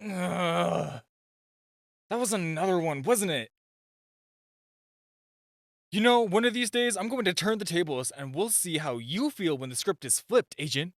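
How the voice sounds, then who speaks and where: A man's voice: high voice, expressive, a little slow, long pauses, no echo. Man acting a shy, stammering gadget nerd, dry close sound. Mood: flustered, pleading. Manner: nervous, stammering, flustered. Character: super-shy, flustered nerdy male gadget inventor. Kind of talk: amateur roleplay audio acting.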